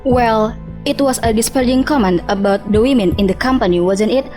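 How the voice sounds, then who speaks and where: Woman playing hesitant, offended junior employee, higher voice. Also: brisk, music in the background, close to an everyday voice. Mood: hesitant, explaining. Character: offended junior female employee giving testimony, hesitant, informal. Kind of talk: radio drama acting.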